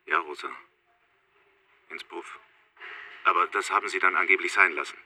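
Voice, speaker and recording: A deep, sharp-voiced village policeman. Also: fairly even intonation, moderate pace, a little hiss or hum. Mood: dry, resolute. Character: matter-of-fact male village policeman, friend of the family. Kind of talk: radio drama phone dialogue.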